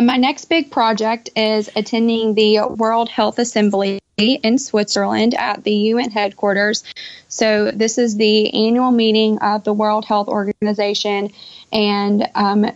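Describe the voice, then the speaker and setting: Young woman, creaky voice, lo-fi call. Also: mid-range voice. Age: young adult. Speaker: podcast guest, pharmacist. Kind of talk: conversational interview answers. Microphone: Skype call. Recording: dull lo-fi internet call audio.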